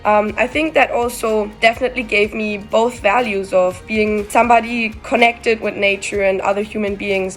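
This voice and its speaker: A young woman from Costa Rica giving heartfelt, flowing answers as an interview guest. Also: medium pitch. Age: young adult. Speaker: guest climate activist.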